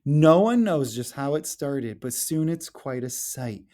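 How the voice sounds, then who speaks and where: Male children's read-aloud voice: soft-edged mellow tone, very expressive melody, playful school-educator delivery with exaggerated questions. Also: mid-range voice, a little slow. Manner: animated, playful, exaggerated questions and exclamations, addressing children directly. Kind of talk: picture-book read-aloud for young children.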